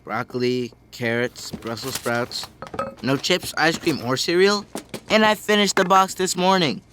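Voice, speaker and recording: A man's voice: a little high, measured pace, background noise, close to an everyday voice. An actor playing an easygoing, enthusiastic teenage boy with casual slangy talk in a family audio drama. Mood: laid-back.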